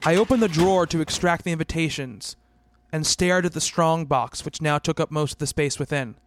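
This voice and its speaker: A man playing an earnest psychiatrist who narrates his own story in a radio play, in a measured, gentle, literary manner. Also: fairly high pitch, slight background noise, natural voice. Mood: measured, reflective.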